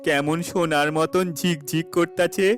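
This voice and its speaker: A male actor as a poor, devout village farmer in a cartoon. His fairly high male voice is earnest and plaintive, with a rural Bangladeshi Bengali accent, over soft music. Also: steady tone, unhurried. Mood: hopeful, tender. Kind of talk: cartoon voice acting.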